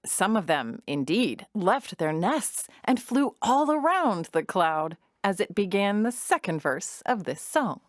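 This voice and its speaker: A woman narrating a children's storybook audio drama in an expressive storytelling manner. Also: medium pitch, slow pace, few pauses, no room sound, studio quality.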